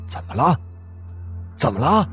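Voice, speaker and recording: A man's voice: fairly high pitch, big pitch swings, unhurried, fairly bright tone, faint music behind, voice pushed up. A man voicing a male household steward in an audio ghost story, coaxing to his betters and brusque to servants. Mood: urgent. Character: male household steward, placating yet callous.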